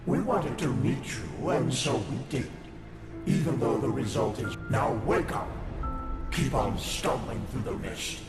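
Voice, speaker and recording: An actor playing a menacing, contemptuous godlike villain in a video game, speaking in a pompous, deliberate, taunting manner. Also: male voice, medium pitch, lively intonation, gravelly. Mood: dismissive. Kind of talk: dramatic game voice acting. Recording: echoing voice under loud music.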